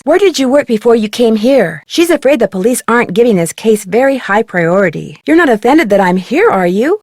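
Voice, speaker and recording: A woman's voice: fairly high pitch, no echo, studio quality. A woman playing an eager, polite young amateur detective in an adventure game, with a perky, inquisitive, scripted delivery. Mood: curious. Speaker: game protagonist and tutorial guide.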